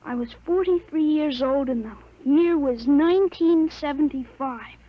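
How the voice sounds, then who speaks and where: A woman playing a thirteen-year-old boy with a grown man's mind in a 1950s radio drama, speaking calmly and matter-of-factly on a dull, lo-fi old recording. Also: high voice, a little slow. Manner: calm, matter-of-fact, self-assured.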